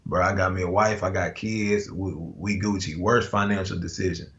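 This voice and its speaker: A man's voice: low-pitched, steady tone, normal speed, creaky, a bit bright. Chill american guy rambling w slang n fillers, echoey room, kinda muffled. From United States. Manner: laid-back, slangy, full of fillers and restarts. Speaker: guest artist. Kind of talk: casual conversation. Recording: roomy, dull lo-fi call audio.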